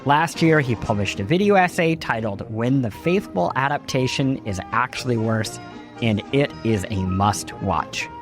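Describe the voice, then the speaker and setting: A man's voice: mid-range voice, lively intonation, unhurried, music in the background. A man hosting a podcast, reading scripted narration in an articulate, emphatic way. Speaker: podcast host.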